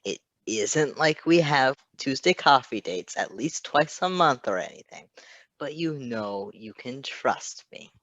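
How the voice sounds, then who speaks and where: A sassy male nurse in his thirties from a superhero story, voiced by a man reading aloud. He drawls and teases in a breezy, flippant way, at a middle pitch, recorded close and dry. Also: lively intonation, unhurried, a bit bright, dry recording. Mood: wry. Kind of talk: audiobook-style character reading.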